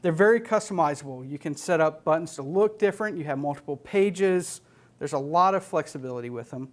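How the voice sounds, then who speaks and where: An American man in his thirties presenting as an audio technician, explaining gear and software in a plain-spoken, matter-of-fact way. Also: a little high, fairly quick. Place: indoor studio stage with LED wall.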